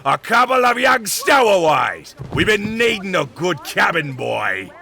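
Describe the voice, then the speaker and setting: An actor playing a loud, superstitious, leering pirate crewman with a gravelly voice in an audio drama. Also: high voice, big pitch swings, unhurried, full character voice. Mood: loud, gloating.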